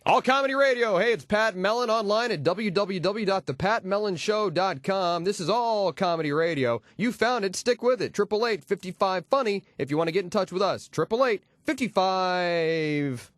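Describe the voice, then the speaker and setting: Male comedy radio host, notably high voice, punchy wisecracking patter, dry close-miked studio sound. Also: expressive, moderate pace, fairly bright tone, no room sound. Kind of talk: comedy radio air-check patter with jokes. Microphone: close radio studio microphone.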